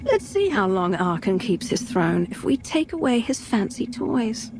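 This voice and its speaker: A woman's voice: a little high, sing-song, unhurried, background noise. A woman acting a commanding, calculating Sith leader in a video game, assertive, shrewd and controlled.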